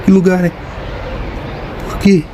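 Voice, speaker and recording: A woman's voice: on the low side, little pitch movement, slow, background noise, voice pushed up. A man voicing an anxious young woman, the daughter of a robot, who pleads with rising questions. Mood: pleading. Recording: music playing behind.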